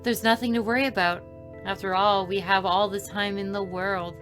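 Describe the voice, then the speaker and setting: A woman's voice: mid-range voice, fairly even intonation, normal speed, a bit bright, close to an everyday voice. A woman voicing a mysterious, confident, doll-like angelic girl while reading a visual novel aloud. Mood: teasing, confident. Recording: music behind the voice.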